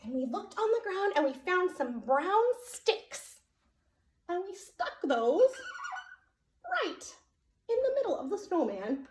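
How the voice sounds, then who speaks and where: A woman speaks in a high, slow voice, as a librarian does at a preschool storytime. Her delivery is sing-song and full of questions for small children. The room adds a noticeable echo. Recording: roomy echo.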